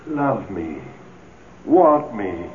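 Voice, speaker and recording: An actor playing the ghost of a two-timing man, a pleading, smooth-talking seducer, in 1970s radio drama style. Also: on the low side, sing-song, extremely slow, full character voice. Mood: urgent pleading. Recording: muffled, noisy 1970s radio recording.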